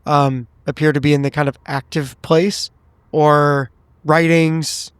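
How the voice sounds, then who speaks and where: Higher-voiced man, polite and deliberate. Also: level tone, clear, resonant tone, dry recording. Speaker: player in a tabletop game. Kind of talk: in-game roleplay in everyday voice.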